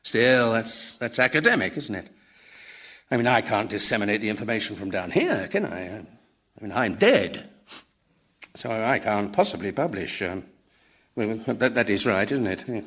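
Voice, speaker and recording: An earnest, excitable academic in a British radio sitcom. His voice is husky and swings widely in pitch. He is pedantic, touchy and quickly flustered. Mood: awed, hinting. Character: earnest, excitable, touchy dead physics professor.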